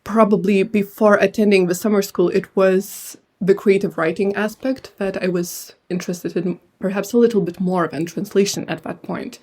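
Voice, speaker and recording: Soft-toned young woman, thoughtful, hesitant. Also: medium pitch, fairly even intonation, moderate pace, hardly any pauses. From Lithuania. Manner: thoughtful, hesitant with many fillers. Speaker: translator and writer, guest. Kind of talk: casual interview answers.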